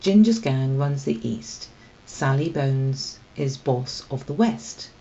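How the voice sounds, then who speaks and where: A woman's voice: extremely low pitch, animated, slow pace, warm tone, lo-fi sound, exaggerated voice. A woman voicing a tough, teasing female street cat in a children's storybook, with a blunt, mocking delivery. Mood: scornful, warning. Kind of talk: children's storybook read-aloud.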